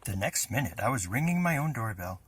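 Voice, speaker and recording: A man's voice: medium pitch, sing-song, a little fast, slightly rough. A man reading a children's picture book aloud in a dramatized way, shifting his voice for the characters. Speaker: storybook reader.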